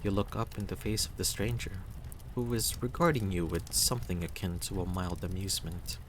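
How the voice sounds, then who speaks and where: Male story narrator, low register, unhurried delivery, reading game text, faint background noise. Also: fairly even intonation, rough recording.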